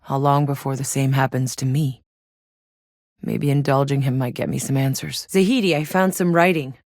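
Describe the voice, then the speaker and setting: A woman's voice: rumbling low, steady tone, normal speed, darkish tone, dry recording, clean studio sound. A woman playing a tough, wry soldier scouting a hostile alien world in a video game, speaking terse, matter-of-fact scripted lines. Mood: uneasy, troubled.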